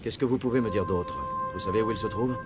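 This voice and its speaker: Actor as brisk, fast-talking military commander. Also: male voice, on the low side, music in the background, natural voice. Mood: resolute, commanding. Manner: authoritative, terse. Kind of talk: French video game dub.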